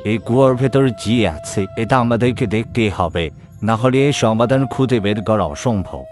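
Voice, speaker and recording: A man's voice: medium pitch, moderate pace, darkish tone, soft background music, natural voice. A man voicing an authoritative village headman in a cartoon, speaking in a commanding, reassuring way. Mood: decisive. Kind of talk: cartoon voice acting.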